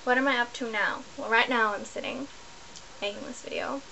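A young woman from New Zealand rambles cheerfully to her laptop webcam, correcting herself as she goes. Her voice sits slightly high. A faint hiss runs under the small bedroom recording. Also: steady tone, moderate pace, vocal fry, sharp tone, poor audio. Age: young adult. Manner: chatty, rambling, self-correcting. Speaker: vlogger. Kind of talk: casual chat to camera.

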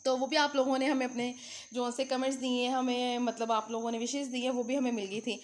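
Woman, young adult, from Pakistan, vlog host, high voice, chatty, talks to viewers. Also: level tone, a little fast. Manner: chatty, talks straight to viewers, many fillers. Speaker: channel host. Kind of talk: casual vlog chat.

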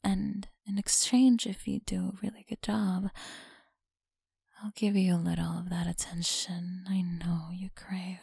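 A woman voicing a mean, teasing tsundere college bully in an intimate ASMR roleplay, creaky and often dropping into breathy whispers. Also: on the low side, little pitch movement, measured pace, warm tone, no echo, clean studio sound, heavily acted. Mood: domineering, teasing.

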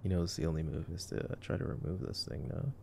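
A man's voice: low voice, fairly even intonation, fairly quick, gravelly, darkish tone, slight background noise. A young man giving casual ASMR movie commentary in a laid-back, joking, murmured way. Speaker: ASMR boyfriend roleplayer commenting on a film.

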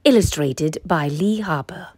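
A woman reading a children's story aloud as narrator, in an expressive, dramatic manner. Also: lowish voice, measured pace, breathy edge, dry recording, studio quality.

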